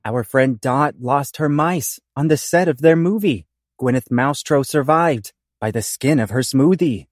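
A man's voice: mid-range voice, measured pace, no room sound, clean studio sound. A man narrating a comic audio play in theatrical, rhyming scripted verse.

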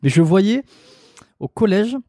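Mellow-voiced host with halting speech. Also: male voice, sits high, expressive, no echo, clean studio sound. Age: 30s. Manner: halting, many restarts and fillers. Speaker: interview host. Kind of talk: casual interview chat.

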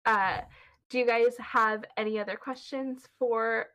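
A woman's voice: fairly high pitch, fairly even intonation, unhurried, fairly bright tone, smooth. A young woman in her twenties with a Californian American accent, chatting casually and laughing often. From California, USA. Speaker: guest. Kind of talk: casual chat.

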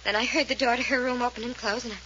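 A frightened young woman in an old-time radio mystery. Her voice is high and piercing, with halting, emotional delivery. The recording is dull and faintly noisy. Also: fairly even intonation, pushed and bright. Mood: shaken. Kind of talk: 1940s radio acting.

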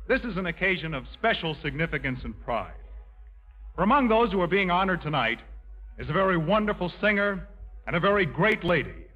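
Master of ceremonies: oratorical, admiring, high and very lively, muffled 1950s broadcast. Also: male voice. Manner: stately, oratorical. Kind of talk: 1950s radio announcing. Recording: muffled old radio broadcast, faint music.

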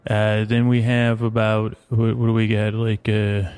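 A man with a creaky voice hosting a bedtime podcast, meandering haltingly through lulling tangents. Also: on the low side, monotone, a little mellow, no room sound. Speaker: podcast host. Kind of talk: rambling bedtime podcast monologue.

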